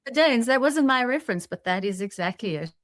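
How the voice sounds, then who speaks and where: A woman's voice: on the high side, expressive, a little fast, dry recording. A woman hosting a podcast in a conversational, reflective way, explaining her thoughts to listeners. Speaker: podcast host.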